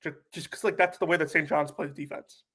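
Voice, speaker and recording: A man's voice: fairly high pitch, steady tone, fairly quick, fairly bright tone. A sick young man co-hosting a casual sports podcast, chatty and full of "like" fillers. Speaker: podcast co-host.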